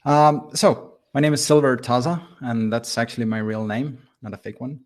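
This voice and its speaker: A man's voice: medium pitch, steady tone, moderate pace. A man from Estonia with a light Estonian accent, a guest explaining his work step by step in a casual interview. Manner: thoughtful, explains step by step with fillers. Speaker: guest, software founder.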